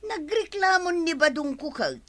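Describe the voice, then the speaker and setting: A woman's voice: very high-pitched, animated, a little slow, a bit bright, dry recording, exaggerated voice. A woman with an Ilocano accent playing a gossipy, nagging villager in a radio comedy drama.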